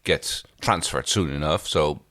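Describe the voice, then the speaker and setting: A man with a low voice gives casual commentary as a podcast co-host. His manner is wry and understated, and the sound is dry with very little room to it. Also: measured pace, a bit bright, no room sound. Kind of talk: casual episode recap and commentary.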